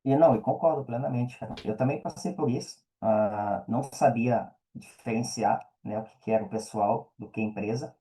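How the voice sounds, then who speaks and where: A man's voice: medium pitch, normal speed, hardly any pauses, mellow, low-quality recording. A man with a Brazilian accent speaking as a podcast guest, chatting informally and often checking that the listener follows. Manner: informal, peppered with check-in tags. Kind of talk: casual interview chat.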